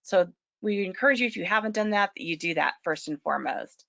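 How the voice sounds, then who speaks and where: Slightly hoarse woman presenting a webinar. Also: mid-range voice, animated, fast, a bit bright, dry recording. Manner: clears throat often, many ums. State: a little hoarse from allergies. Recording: dull lo-fi web call audio.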